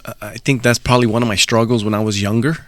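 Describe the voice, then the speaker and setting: A man's voice: fairly low pitch, little pitch movement, fast, a bit bright. A middle-aged man from El Salvador, a podcast guest telling personal stories in an easygoing, reflective way. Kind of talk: casual podcast conversation.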